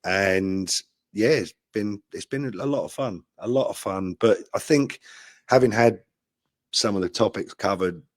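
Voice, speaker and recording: A man's voice: on the low side, unhurried, no room sound, clean studio sound. A man talking informally as a guest voice actor, enthusiastic and earnest about his work. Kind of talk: informal talk about a role.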